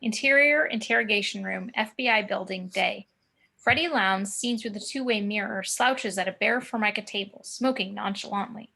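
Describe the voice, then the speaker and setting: Bright-voiced woman reading stage directions. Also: mid-range voice, normal speed, vocal fry. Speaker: narrator of screenplay action. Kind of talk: scripted narration of scene directions. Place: remote video call.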